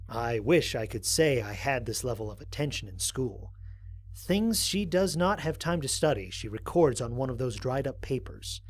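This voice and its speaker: An earnest, observant space explorer voiced by a man records his mission log in an audio drama. His voice is a little husky and moves expressively in pitch. The delivery is measured and reflective, with a fond, wry streak.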